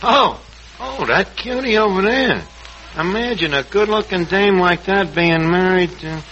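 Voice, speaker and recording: A man's voice: high voice, a little slow, fairly bright tone. An actor playing a brash, wisecracking tavern man with a New York accent who mangles fancy words, in 1940s radio comedy style. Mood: flattering, smooth-talking. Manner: streetwise slang and malapropisms. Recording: noisy, dull 1940s radio recording.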